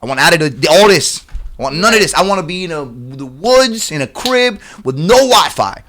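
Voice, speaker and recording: A man speaking as a rapper guest in a casual radio interview, informal and halting, repeating phrases for emphasis. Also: a little high, lively intonation, pushed and bright, a little hiss or hum.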